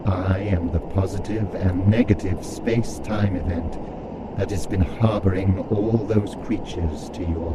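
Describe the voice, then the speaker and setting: A man performs a godlike celestial creature in an audio drama. The voice is very low and slow, proclaiming with grand, imperious weight through heavy background noise. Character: imperious celestial creature, controller of all reality. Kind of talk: scripted audio drama acting.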